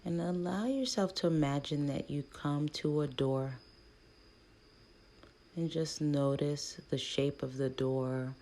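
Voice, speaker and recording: A woman leading a guided meditation in a gentle, hushed manner, speaking in short soothing phrases with long pauses between them. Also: deep voice.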